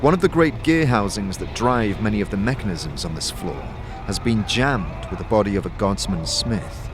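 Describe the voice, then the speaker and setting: Low-pitched man narrating fantasy. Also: expressive, moderate pace. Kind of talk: scripted second-person fantasy narration. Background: music.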